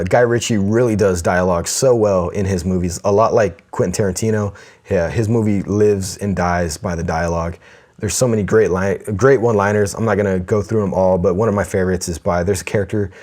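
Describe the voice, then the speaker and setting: This is a man with a very deep voice, co-hosting a casual podcast. He talks with enthusiasm, laughs a lot and reads listener mail aloud. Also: darkish tone. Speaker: podcast co-host.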